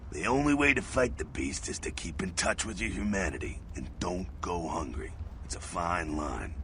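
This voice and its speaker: An actor playing a gruff, cynical, streetwise vampire mentor in a video game, with a gravelly voice and a blunt, swaggering manner. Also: male voice, sits low, animated, moderate pace, bright, forceful tone, a little hiss or hum, full character voice. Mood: gruff, instructive. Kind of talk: video game character acting.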